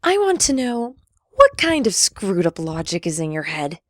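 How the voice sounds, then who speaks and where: A woman's voice: fairly low pitch, huge pitch swings, moderate pace, dry recording, clean studio sound. A woman playing a stern, ambitious military general in an audio drama, curt and commanding. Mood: angry. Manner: curt, commanding, sharp-tongued.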